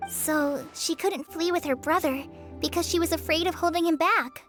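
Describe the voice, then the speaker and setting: A woman voicing a small, earnest, childlike companion with a squeaky voice in video game dialogue. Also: normal speed, a bit bright, soft background music. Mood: puzzled.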